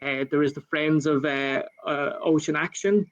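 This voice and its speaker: Flat-voiced Irish man, dull lo-fi sound. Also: a little high. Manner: measured, many fillers and restarts. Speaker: panel speaker for a national government. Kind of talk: panel discussion answer.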